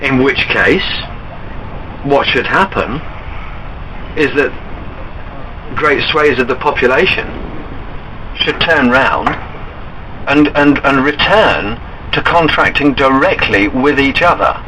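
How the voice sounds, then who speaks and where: A man's voice: mid-range voice, takes it slowly, long pauses, pushed and bright. A man on a phone call, earnestly arguing his case and insistently pressing his points. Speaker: caller. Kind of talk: argumentative phone conversation. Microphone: telephone call. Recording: noisy recorded phone call.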